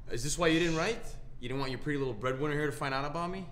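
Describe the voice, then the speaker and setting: An actor playing a menacing, jealous ex-convict who talks crudely and confrontationally in a screen drama. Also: male voice, on the high side, very fast, fairly bright tone, a little hiss or hum, lightly acted. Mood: taunting. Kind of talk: naturalistic film drama acting.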